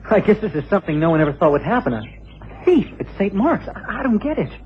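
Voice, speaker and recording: A bewildered young American scholar, polite and quick of speech, with lively pitch. It is a muffled radio drama with faint hiss. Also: male voice. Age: young adult. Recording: muffled, hissy old radio recording.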